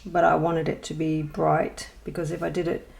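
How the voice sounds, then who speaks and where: Gravelly low-voiced woman, rambling chat. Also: little pitch movement, normal speed, a little mellow, slight background noise. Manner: rambling and hesitant, many ums and restarts; self-deprecating, chatty asides. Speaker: colouring channel host. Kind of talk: casual show-and-tell vlog.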